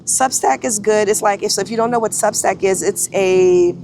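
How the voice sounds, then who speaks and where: A woman's voice: mid-range voice, normal speed, darkish tone, a little hiss or hum. A woman in her forties, a photographer vlogging in a casual, chatty way, often correcting herself and restarting sentences. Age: 40s. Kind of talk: casual talking-head vlog.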